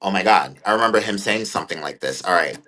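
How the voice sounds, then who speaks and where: A man's voice: low voice, animated, fast talker, bright, forceful tone. A man in his twenties talking casually as an interview guest, rambling and thoughtful with many fillers. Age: 20s. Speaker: guest content creator.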